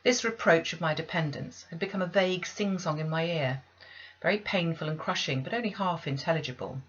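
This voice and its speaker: A woman's voice: fairly low pitch, brisk, a bit bright, husky, dull audio. A woman reading a classic novel aloud as scripted literary audiobook narration. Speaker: audiobook reader.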